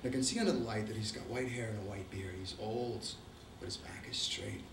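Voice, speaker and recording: A man's voice: on the low side, fairly quick, background noise. A middle-aged man with an Argentine accent, telling a profane, wry travel story to a live audience. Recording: roomy, distant mic.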